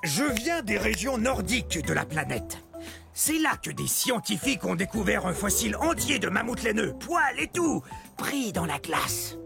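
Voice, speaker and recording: A man's voice: high-pitched, big pitch swings, moderate pace, creaky, heavily acted. A man voicing a big, friendly, bumbling woolly mammoth in a children's educational cartoon, talking with exclamations to young viewers. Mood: chatty, amused. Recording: music under the voice.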